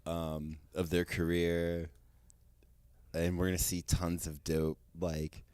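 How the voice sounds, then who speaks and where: Man, forties, low voice, animated and profane co-host, dry sound. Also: takes it slowly, smooth, no room sound. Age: 40s. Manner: profane, enthusiastic, trailing off into fillers. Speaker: podcast co-host. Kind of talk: casual chat.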